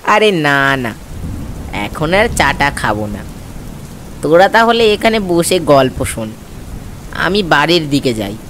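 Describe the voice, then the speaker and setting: A man playing a homely, polite village grocer in a cartoon, unhurried in his talk. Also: a little high, sing-song, a bit bright, slight background noise, full character voice. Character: homely village grocer, married, heading home. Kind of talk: cartoon dialogue.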